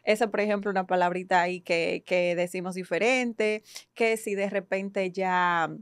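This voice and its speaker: A woman's voice: on the low side, fairly even intonation, normal speed, smooth, no echo. Ecuadorian-born woman with a Dominican accent, dry sound. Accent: Dominican Spanish. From Guayaquil, Ecuador. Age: 30s. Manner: warm storytelling, laughs often. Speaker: psychologist and coach, guest. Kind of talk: casual interview chat.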